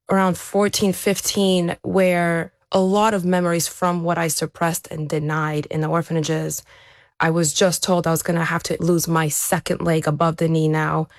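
A woman's voice: on the low side, no echo, clean studio sound. A woman who is an athlete, giving a reflective personal account as an interview guest. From born in Ukraine, raised there to age seven, then America.

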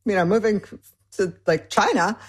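A woman's voice: mid-range voice, normal speed, husky. A woman in her forties from Michigan, a cookbook author speaking thoughtfully and with enthusiasm in a radio interview. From Michigan, USA. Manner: thoughtful, enthusiastic, many fillers.

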